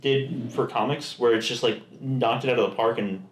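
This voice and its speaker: A man co-hosting a casual podcast, talking at an ordinary pitch in a roomy, echoing space. He rambles, restarts his sentences and slips into quoted asides as he makes his points. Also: fairly even intonation, normal speed, slight background noise. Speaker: podcast co-host. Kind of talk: casual chat. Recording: echoey room.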